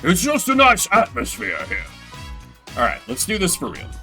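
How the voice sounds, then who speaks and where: Male game streamer, slightly high bright voice, casual and sarcastic commentary. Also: normal speed, soft background music. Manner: animated, profane, sarcastic. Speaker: streamer playing and voicing a game solo.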